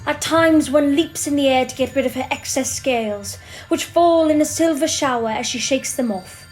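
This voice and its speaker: A woman narrating an audio drama as a measured, whimsical storybook narrator. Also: sits high, soft background music, natural voice, rough recording.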